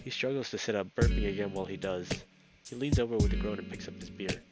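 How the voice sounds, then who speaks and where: A man's voice: fairly low pitch, fast. A man reading a visual novel aloud as a let's player, narrating the scenes. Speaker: video game let's player. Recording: dull lo-fi audio under loud guitar music. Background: loud plucked guitar music.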